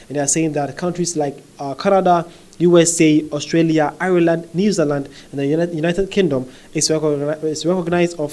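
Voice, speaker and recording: Man reacting to online comments. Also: fairly high pitch. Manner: conversational, frequent fillers and "okay?" check-ins. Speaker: commentary vlogger. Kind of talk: reading and reacting to social media comments.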